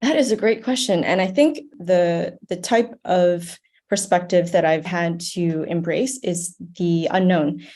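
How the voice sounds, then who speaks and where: A woman's voice: on the low side, measured pace, warm tone. An American woman speaking earnestly and thoughtfully as an interview guest, in a reflective conversational style. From United States, grew up in Ohio. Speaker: podcast guest, nonprofit board member.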